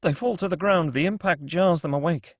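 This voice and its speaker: A man narrates a screenplay at a table read, reading out action lines and scene headings. His voice sits a little high and sounds dry and close. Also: fairly quick, no echo. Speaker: narrator reading stage directions.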